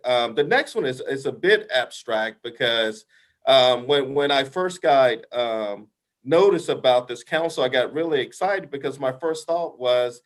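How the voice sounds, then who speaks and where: A man with a big voice advising a council in a meeting over a video call, talking emphatically, often ending points with "right?" and restarting his sentences. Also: medium pitch. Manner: emphatic, repeats "right?" as a tag, many restarts. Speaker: invited expert advising the council. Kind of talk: meeting discussion.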